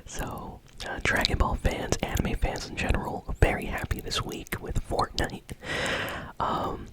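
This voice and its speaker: Whispering ASMR host needed: breathy, unvoiced, casual and conversational delivery of news commentary. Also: a little slow. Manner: casual, conversational, soft-spoken. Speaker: host of a gaming news show.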